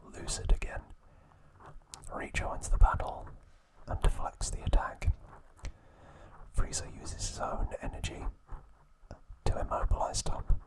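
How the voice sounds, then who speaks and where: A man whispering an ASMR recap of trivia, pausing now and then to correct himself. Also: rough recording. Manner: slow soft whisper, occasional self-corrections. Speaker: ASMR host.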